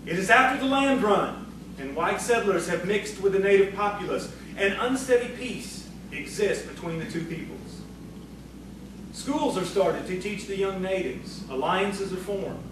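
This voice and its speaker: A man with an American accent reading scripted narration as a measured, solemn storyteller. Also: high voice, background noise. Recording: very echoey, distant, music behind.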